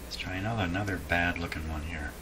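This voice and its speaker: A man hosting a hands-on repair demonstration, thinking aloud casually in an unhurried, musing way with dry humor. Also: low-pitched, background noise. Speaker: host of a radio repair video. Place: indoor home repair workshop.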